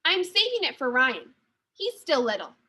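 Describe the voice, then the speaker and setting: A woman voicing an earnest, guarded young girl in an expressive children's picture book read-aloud. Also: sits high, normal speed, exaggerated voice. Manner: expressive, storybook delivery. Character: Maddie, earnest young girl, proud but secretive.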